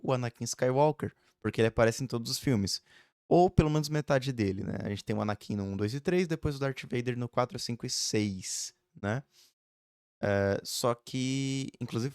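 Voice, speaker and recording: A man's voice: medium pitch, darkish tone, dry recording, studio quality. A man in his early twenties, chatting casually and rambling with lots of fillers in a home vlog. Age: 20s. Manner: rambling, chatty, frequent fillers and tag questions. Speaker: vlogger. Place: home room.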